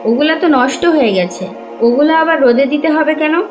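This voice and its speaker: A woman's voice: high-pitched, moderate pace, over music, close to an everyday voice. A woman voicing a devout, pleading wife in a cartoon, with an earnest, admonishing manner. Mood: reproachful. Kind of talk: cartoon voice acting in Bengali.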